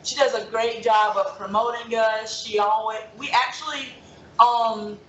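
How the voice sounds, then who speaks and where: A woman's voice: on the high side, fairly even intonation, a little slow, reverberant, highs cut off, slight background noise. A woman from Mississippi, a country singer, chatting upbeat as a radio guest. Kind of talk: casual interview.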